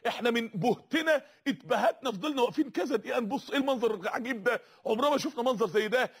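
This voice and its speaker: A man's voice: very high voice, slightly rough. A man preaching an impassioned sermon with an Egyptian accent, urgent and repetitive, calling out to his listeners. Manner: rhetorical, repetitive, urgent calls to the audience.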